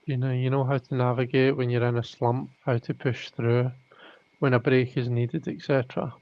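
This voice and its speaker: A man's voice: medium pitch, flat delivery, moderate pace, a little mellow, dry recording. A man hosting a live tarot stream, thinking aloud in casual chat with many restarts. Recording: dull lo-fi audio.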